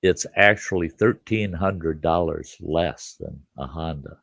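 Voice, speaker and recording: An older man with a congested voice, hosting a relaxed live chat, greeting viewers by name and rambling with frequent chuckles. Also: extremely low pitch, a little slow, creaky, no echo, studio quality. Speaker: livestream host.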